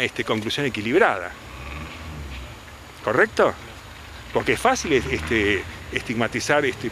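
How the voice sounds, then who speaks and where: Older man, defense lawyer, lively insistent delivery, press scrum mics, street noise behind. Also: mid-range voice. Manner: insistent, rhetorical questions. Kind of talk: press interview. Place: outdoors by a detention unit. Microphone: handheld reporter mics.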